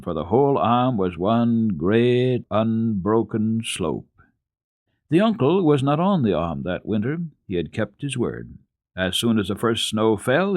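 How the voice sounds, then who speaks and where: A man reading a classic children's story aloud as a radio narrator, with expressive, deliberate phrasing and a storyteller's emphasis. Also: on the low side, dry recording, clean studio sound.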